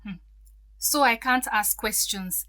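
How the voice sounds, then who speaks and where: A woman's voice: a little high, slow, pushed and bright, dry recording. An actress playing a hurt, defiant stepdaughter in her thirties in an audio drama, emotional and pleading.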